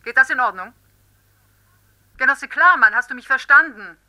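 Very sharp, impatient secretary. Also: female voice, high-pitched, expressive, moderate pace, full character voice, poor audio. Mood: brisk, impatient. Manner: crisp, impatient, officious. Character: brisk, impatient female office secretary on telephone. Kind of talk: German radio drama acting.

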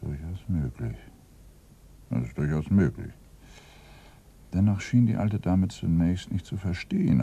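An actor playing a weary, dogged middle-aged police inspector in a radio crime play, dry and laconic in manner. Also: male voice, extremely low pitch, mellow, husky, full character voice. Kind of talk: German radio play acting.

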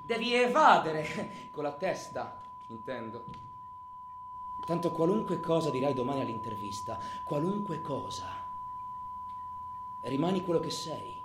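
Menacing, volatile criminal, echoey room. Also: male voice, on the high side, wildly swooping pitch, measured pace, long pauses. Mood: goading, coaxing. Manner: mocking, coaxing, swings between murmurs and outbursts. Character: menacing taunting male criminal, swaggering, goading his old accomplice. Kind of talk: theatrical radio drama monologue. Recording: roomy echo, faint background noise.

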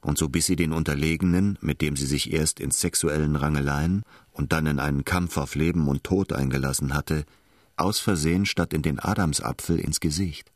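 A man's voice: extremely low pitch, moderate pace, no echo. A man delivering scripted literary narration as the narrator of a radio drama. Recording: studio voice over music.

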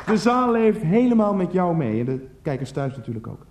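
Radio comedy role: smarmy male game show host, high-pitched, theatrical, oily and overfamiliar, in a roomy echoing studio. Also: big pitch swings, fairly quick, a crowd in the background, background noise. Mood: bombastic showmanship. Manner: unctuous, theatrical, repeats himself, swings from announcing to coaxing. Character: smarmy, bombastic male TV confession-show host, falsely intimate. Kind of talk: satirical radio play, parodying TV show presenting.

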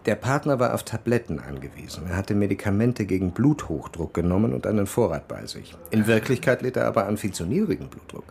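A man's voice: lowish voice, sing-song, a little fast, creaky, few pauses, a little mellow, a little hiss or hum. An actor playing a dry, matter-of-fact male police investigator in a radio drama.

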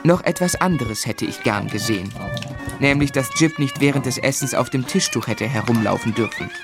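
An actor playing an earnest, tender young husband in a German radio play, articulate and measured in narration and dialogue. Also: male voice, medium pitch, over loud music, natural voice. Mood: wry narrating. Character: earnest, tender, gently exasperated young husband telling his story.

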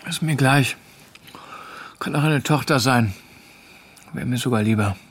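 An actor playing a weary young German soldier in a radio drama, speaking in a subdued, terse way. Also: male voice, medium pitch, a little slow, a bit bright, breathy edge. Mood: dry, indifferent. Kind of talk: radio drama acting, dialogue and narrated thoughts.

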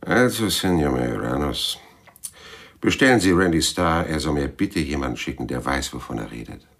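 A man's voice: rumbling low, animated, moderate pace, a bit bright, heavily acted. An actor playing a weary, cynical private detective who tells his own case dryly and laconically in a radio play. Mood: dry, probing. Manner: dry, laconic. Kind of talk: radio play narration and dialogue.

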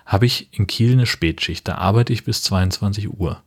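A man's voice: lowish voice, normal speed, husky. A man chatting casually as a podcast co-host. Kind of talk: casual chat.

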